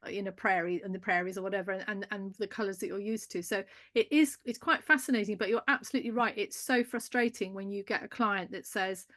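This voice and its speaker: A woman co-hosts a live garden chat and talks over a video call. She is animated and warm, and she laughs easily as the conversation moves along. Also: mid-range voice, quick pace, hardly any pauses. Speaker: co-host. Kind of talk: casual chat on a live stream.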